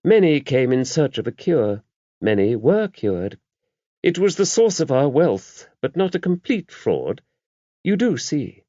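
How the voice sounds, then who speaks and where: A man voicing an older abbess in an audiobook, a shrewd, gently wry woman who talks in a brisk, genteel, confiding way. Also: mid-range voice, very animated, dry recording, pitched above the performer's own voice. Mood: chatty, shrewd. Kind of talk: audiobook character voice. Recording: dull, muffled lo-fi audio.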